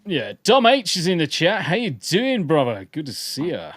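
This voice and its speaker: A man's voice: a little high, wildly swooping pitch, normal speed, a bit bright. A man co-hosting a casual podcast livestream, joking and swearing freely while greeting viewers in chat. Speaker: podcast co-host.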